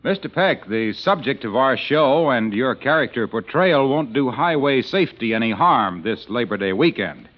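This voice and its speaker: A man announcing and pitching for a sponsor in scripted 1940s radio style, on a muffled old recording. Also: expressive, moderate pace, few pauses.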